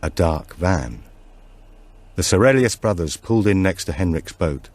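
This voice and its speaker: A man reading a suspense thriller audiobook as its narrator, in measured and deliberate phrases. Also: sits low, expressive, darkish tone.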